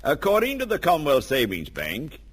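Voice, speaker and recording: A man's voice: on the high side, huge pitch swings, a little fast, slightly rough. A man reading a scripted sponsor message in old-time radio style. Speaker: radio advertisement announcer.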